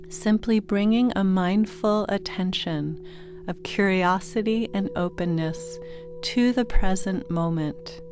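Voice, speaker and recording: A woman narrating a guided sleep meditation in a gentle, soothing manner, giving unhurried instructions with pauses between phrases. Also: mid-range voice. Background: meditation music with singing bowl tones.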